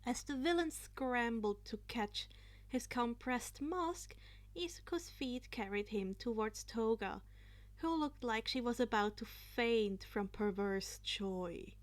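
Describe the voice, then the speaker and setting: A woman narrating fan fiction aloud in an expressive, playful amateur style, now and then stumbling over a word. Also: a little high, slow. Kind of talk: expressive amateur story reading.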